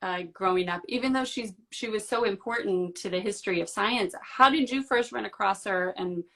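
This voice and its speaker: Woman, interviewer, encouraging, casual questions, steady mid pitch. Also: normal speed. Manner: warm, encouraging, frequent backchannels.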